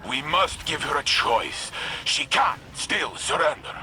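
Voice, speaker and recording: A man's voice: on the high side, very animated, measured pace, noisy background. A man playing a noble, earnest robot warrior in a video game, with impassioned, declamatory delivery. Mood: pleading. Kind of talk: dramatic video game acting.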